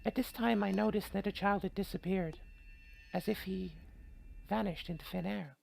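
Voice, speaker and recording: Very creaky, irreverent woman narrating a game. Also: medium pitch, moderate pace, darkish tone. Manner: irreverent, joking, sweary. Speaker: video game streamer reading aloud. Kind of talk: game playthrough, narration mixed with casual commentary.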